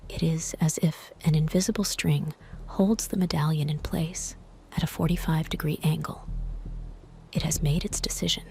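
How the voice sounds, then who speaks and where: A woman playing the narrator of a bleak radio play, telling it deliberately and ominously in a breathy whisper. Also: low voice, a little mellow. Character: hushed, ominous storyteller narrator of a bleak tale.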